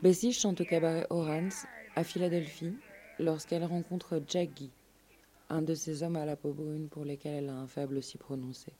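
A woman's voice: low-pitched, little pitch movement, moderate pace, dark tone. A woman radio presenter reading a scripted documentary narration in a literary, storytelling way. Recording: live radio show with music. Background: music.

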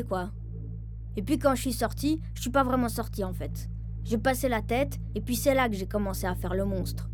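Woman voicing a deadpan young boy over music. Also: fairly high pitch, lightly acted. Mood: wry, matter-of-fact. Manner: casual, deadpan, self-mocking. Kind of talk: first-person radio fiction monologue, colloquial.

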